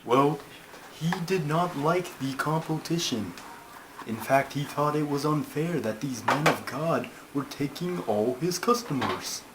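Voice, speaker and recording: A man's voice: fairly high pitch, expressive, unhurried. A man voicing a pompous, schoolmasterly turtle puppet who tells stories in a ponderous, formal, easily put-out way. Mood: grave storytelling. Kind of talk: comic scripted storytelling. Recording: noisy amateur home recording.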